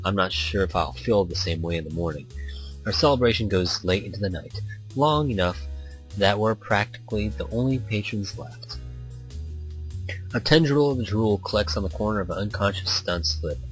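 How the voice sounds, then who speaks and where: A man with a fairly low voice narrates a story game over background music. His read-aloud is informal, with the odd stumble that he corrects and carries on from. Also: expressive, moderate pace, rough recording. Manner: informal, stumbles over lines and rereads them. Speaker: narrator reading a visual novel.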